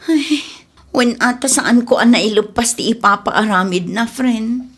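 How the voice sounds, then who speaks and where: Long-suffering young woman, acted by a woman, high voice, Ilocano accent, plaintive, audio drama. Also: unhurried. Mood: weary. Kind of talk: amateur audio drama acting.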